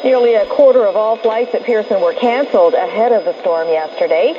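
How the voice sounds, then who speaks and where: A woman's voice: medium pitch, brisk. A woman news presenter reading a scripted report. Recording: lo-fi noisy radio reception.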